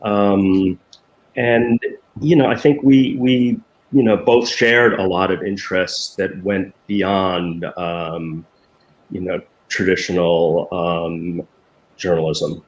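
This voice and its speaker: Low-voiced hesitant man, echoey room. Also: fairly even intonation, slow pace. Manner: hesitant, many ums and restarts. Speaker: former design director of a newspaper. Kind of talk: conversational interview answers.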